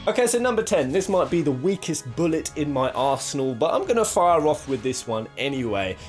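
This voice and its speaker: A man's voice: on the high side, big pitch swings, brisk, soft background music. A man hosting a casual, jokey commentary, talking in playful, florid exaggeration with crude swearing. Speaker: video host.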